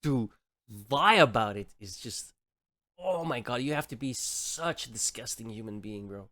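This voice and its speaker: A man's voice: medium pitch, animated, a little slow, bright, forceful tone, dry recording, clean studio sound. A man from Belgium with a Belgian Dutch accent, a native Dutch speaker, ranting and swearing as he reacts to a clip on his stream. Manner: heated ranting, swears often. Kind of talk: reaction commentary.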